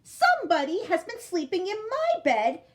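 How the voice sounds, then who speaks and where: A woman voicing a small girl bear cub with a high squeaky voice in a storybook reading. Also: wildly swooping pitch, normal speed, heavily acted. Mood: indignant.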